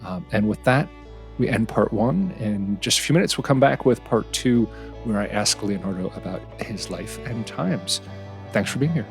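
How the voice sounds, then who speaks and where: A man's voice: fairly low pitch, lively intonation, moderate pace, vocal fry, music in the background. A man hosting a podcast interview, thinking aloud with frequent restarts and self-corrections. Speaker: podcast host. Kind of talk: conversational interview.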